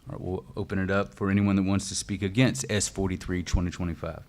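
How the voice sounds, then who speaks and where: A man chairing a public meeting, brisk and formal. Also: deep voice, flat delivery, darkish tone, a little hiss or hum.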